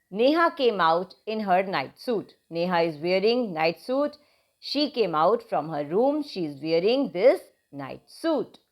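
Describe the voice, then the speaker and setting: A woman teaching young children phonics, patiently stretching out and repeating each letter sound. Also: medium pitch. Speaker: kindergarten teacher. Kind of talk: children's phonics lesson with read-aloud story.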